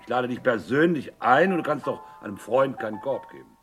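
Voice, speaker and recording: An actor playing a scheming, falsely jovial husband, a glib smooth talker in a 1960s German radio crime drama. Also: male voice, medium pitch, animated, brisk, over loud music, lightly acted. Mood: forced cheer. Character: Vic, scheming husband covering up with forced joviality.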